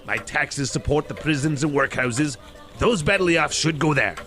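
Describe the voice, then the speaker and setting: A man's voice: fairly high pitch, lively intonation, moderate pace, pushed and bright. A man playing a miserly, irritable businessman in an audio drama, curt and scornful of Christmas. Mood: cold, scornful.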